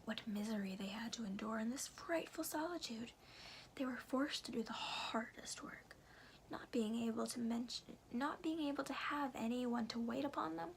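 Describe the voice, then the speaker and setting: A woman reading a storybook aloud in a soft, breathy ASMR whisper, phrasing slowly and deliberately with careful pauses. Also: a little high, slight background noise.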